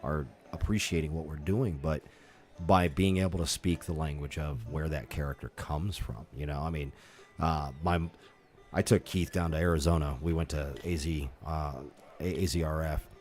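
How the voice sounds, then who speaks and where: A man chatting on a podcast about performing at a festival, speaking in a halting way with many restarts; his first language was Taiwanese. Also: extremely low pitch, fairly even intonation, a little mellow, soft background music. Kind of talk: casual podcast chat.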